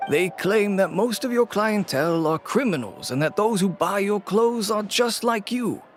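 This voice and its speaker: An actor playing a weary middle-aged fashion shop owner and cautious father, speaking in a measured, reluctant way in a video game. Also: high-pitched, expressive, soft background music. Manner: measured, reluctant, lightly sighing.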